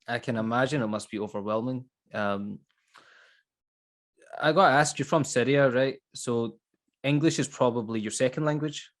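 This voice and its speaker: A man's voice: mid-range voice, normal speed. Man hosting a podcast interview. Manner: brief encouraging backchannels and questions. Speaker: podcast host. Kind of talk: casual interview conversation.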